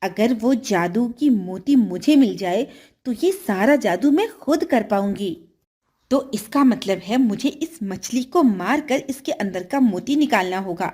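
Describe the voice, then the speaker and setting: A greedy storybook stepmother with animated, swinging intonation, played by a woman narrator. She is coaxing and eager on the surface, calculating underneath. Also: medium pitch, a little slow, breathy edge, voice pushed down. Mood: scheming. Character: greedy, scheming stepmother of a young boy. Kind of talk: children's storybook dialogue.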